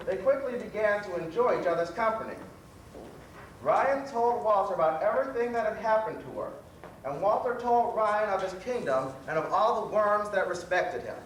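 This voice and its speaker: A very echoey, distant recording of a man with a high voice. He narrates a scripted children's story from a stage as the storyteller, over faint noise. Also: lively intonation, moderate pace.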